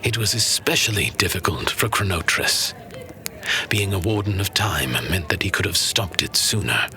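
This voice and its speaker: A man playing a knowing narrator of fantasy legends, grave, deliberate and dramatic, with a breathy voice. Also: low-pitched, animated, sharp tone, faint music behind, full character voice. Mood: knowing, solemn. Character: solemn old-world male storyteller of legends, knowing. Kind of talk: scripted fantasy storytelling.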